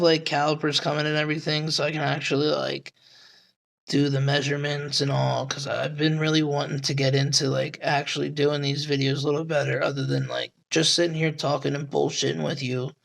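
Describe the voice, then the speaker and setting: A man with a raspy, hoarse voice, rambling casually about a knife with lots of fillers and swearing. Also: fairly high pitch, a bit bright. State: hoarse at night. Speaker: knife reviewer. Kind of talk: casual talk to camera.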